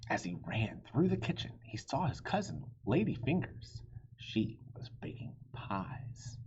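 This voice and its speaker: Animated man reading a storybook, unhurried. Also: mid-range voice, breathy edge, lo-fi sound. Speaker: storybook reader. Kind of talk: expressive read-aloud narration for children.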